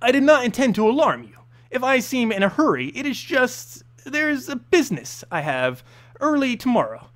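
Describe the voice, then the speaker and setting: A sly, middle-aged court vizier from a fantasy tale, acted out by a man reading aloud. His voice sits high and rises and falls widely. He is oily and ingratiating, choosing his words with deliberate care. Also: sing-song. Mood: placating.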